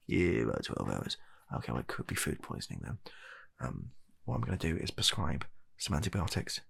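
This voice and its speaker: A British man with a gravelly, husky voice performing a comedic medical roleplay in ASMR style as a doctor, speaking in an exasperated, hesitant, awkwardly deadpan way. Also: low-pitched.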